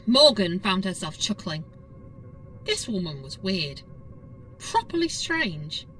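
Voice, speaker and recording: A woman's voice: medium pitch, very animated, slow, a bit bright, far from the mic, soft background music, poor audio. A woman narrating a scripted audiobook story in a dramatic storytelling style.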